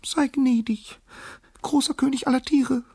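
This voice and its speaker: A man voicing a tiny, timid storybook mouse with a thin squeaky voice, stammering and pleading. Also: fairly even intonation, unhurried, a little mellow, slightly rough, no echo, heavily acted.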